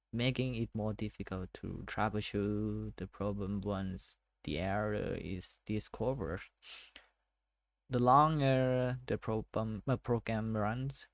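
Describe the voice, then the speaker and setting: A Thai-accented man works slowly through technical notes and reads passages aloud between hesitant asides. His voice has a gravelly edge, and the recording is dry and close, with no room sound. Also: lowish voice, no echo. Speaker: tech study vlogger. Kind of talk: casual self-study screen walkthrough.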